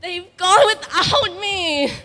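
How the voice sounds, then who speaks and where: A woman playing a young male misfit dinosaur, gentle and earnest, in a plaintive exclaiming manner for a children's stage story. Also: very high voice, very animated, slow, long reverb tail, exaggerated voice. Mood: sad, plaintive. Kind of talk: children's readers' theatre performance. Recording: noisy stage recording with clicks.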